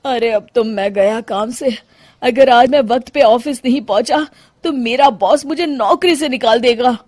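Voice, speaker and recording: A woman's voice: on the high side, unhurried, darkish tone, no echo. A woman acts out a harried young office-going man in a comic tale. The delivery is anxious and complaining, the sound close and dry. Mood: harried dread. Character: harried young working son, hen-pecked. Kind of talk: comic story dialogue.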